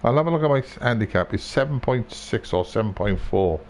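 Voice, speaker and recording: A man's voice: mid-range voice, expressive, brisk, darkish tone. A man live-streaming a video game with casual commentary, grumbling, swearing and repeating himself.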